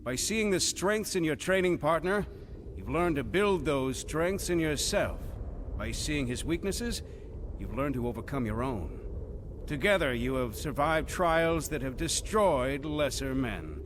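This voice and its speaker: A man's voice: on the high side, lively intonation, measured pace, background noise. A man playing a stern imperial military commander in an audio drama, formal, ceremonious and declamatory. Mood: stern, solemn.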